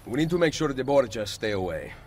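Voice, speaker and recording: An actor playing a calm, determined Italian assassin leader with terse, grave delivery and an Italian accent, in a video game cutscene. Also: male voice, medium pitch, fast talker, close to an everyday voice. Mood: firm, calm. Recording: long reverb, faint music behind.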